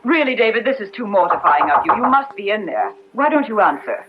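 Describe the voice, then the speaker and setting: An actress playing an insistent, proper, hectoring wife in a radio drama. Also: female voice, mid-range voice, big pitch swings, a little fast, natural voice. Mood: exasperated. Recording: dull old radio recording, music underneath.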